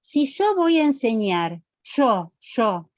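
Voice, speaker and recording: A woman's voice: on the high side, sing-song, slow, dry recording, lo-fi sound. A middle-aged woman with a Rioplatense Argentine accent lecturing to students in a didactic, emphatic way, checking they follow with short questions. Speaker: physical education lecturer.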